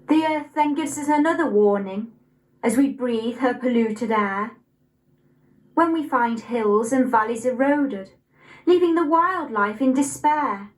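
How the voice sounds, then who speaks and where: Slow, high-voiced young Englishwoman reciting poetry. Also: rough recording. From Nottingham, England. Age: young adult. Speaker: poetry reader.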